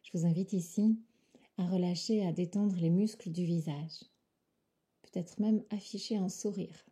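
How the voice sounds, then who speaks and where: A woman guides a relaxation session in a very dark, mellow voice. It is extremely breathy and often slips toward a whisper. Her phrasing is calm, soothing and unhurried, pitched on the low side. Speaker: yoga nidra guide.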